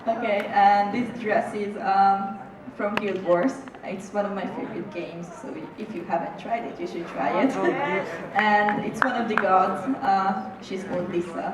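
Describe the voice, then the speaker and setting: Young woman from Hungary, slow, hesitant, giggly; heard from a distance off mic. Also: mid-range voice, fairly even intonation. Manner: giggly, hesitant, many ums. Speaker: cosplayer panel guest. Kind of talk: casual panel chat. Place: convention stage in a large echoing hall. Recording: echoey hall, noisy crowd.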